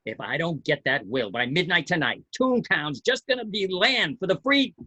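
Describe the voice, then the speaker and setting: Man acting agitated, husky, cornered studio boss. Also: fairly high pitch, lively intonation, normal speed, sharp tone, no room sound, exaggerated voice. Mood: angry, urgent. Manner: nervous, urgent, pleading. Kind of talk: amateur table read of a film script.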